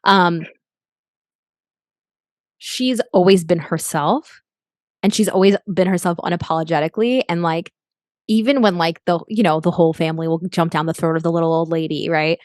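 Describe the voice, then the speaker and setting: A woman's voice: on the low side, moderate pace, long pauses, darkish tone, dry recording, clean studio sound. A young adult woman, a life coach as a podcast guest, talking earnestly and reflectively with frequent restarts. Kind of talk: podcast interview.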